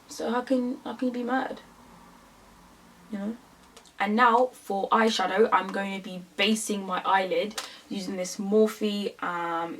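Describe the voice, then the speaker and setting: A woman's voice: mid-range voice, a bit bright, recorded from a distance. A woman in her twenties from London with a London accent, chatting casually through a makeup tutorial with lots of fillers. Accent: London English. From England. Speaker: beauty vlogger.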